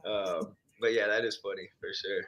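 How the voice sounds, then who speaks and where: A young man talks casually about music, his tone bright and slightly forceful. He keeps it laid-back, drops plenty of slang and laughs often. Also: fairly low pitch, fairly even intonation, moderate pace. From Texas, born in Ecuador. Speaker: musician guest. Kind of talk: casual chat.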